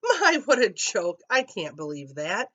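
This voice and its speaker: A woman voicing a small, startled and mocking field mouse in a storybook, squeaking and exclaiming. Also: very animated, a little slow, bright, forceful tone, heavily acted. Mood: astonished, mocking.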